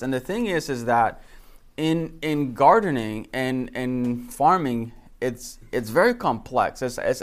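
A man from the Republic, teaching informally as a gardening instructor and often repeating words as he goes. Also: mid-range voice. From Dominican Republic. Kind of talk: informal lecture with personal anecdotes.

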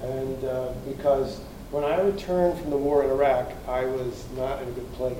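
A man's voice: medium pitch, fairly even intonation, normal speed, noisy background. An American man in his fifties giving an earnest personal testimony as a guest speaker in a church hall. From United States. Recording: echoey room, from far off.

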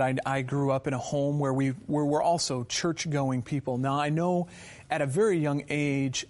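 A man with a somewhat high voice hosts the conversation. He asks his guest questions in a warm, affirming way. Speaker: host. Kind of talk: interview conversation.

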